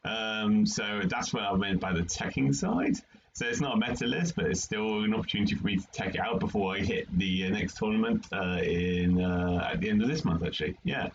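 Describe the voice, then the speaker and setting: Man, British accent, hesitant, rambling, low voice, dull lo-fi recording, faint hiss. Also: fairly quick. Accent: British English. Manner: rambling, hesitant, many fillers. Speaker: podcast co-host. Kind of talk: casual hobby chat. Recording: dull lo-fi mic with faint noise.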